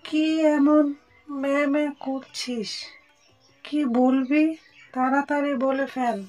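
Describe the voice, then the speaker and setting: A woman's voice: high-pitched, little pitch movement, slow, darkish tone, soft background music, rough recording. A woman playing a stingy, scolding auntie in a cartoon, with a brusque and curt manner. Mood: impatient. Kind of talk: melodramatic cartoon dialogue.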